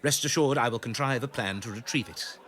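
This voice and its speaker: An actor playing a vain, scheming, rakish captain in a period audio drama, with a pushy, mocking, theatrical manner. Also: male voice, medium pitch, fairly quick, a bit bright, close to an everyday voice.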